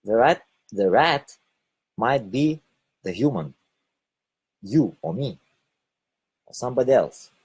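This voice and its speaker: A man from Lithuania with a Lithuanian accent, giving a halting spoken monologue and often repeating or correcting his words. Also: mid-range voice, animated, darkish tone, slightly rough. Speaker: online video host.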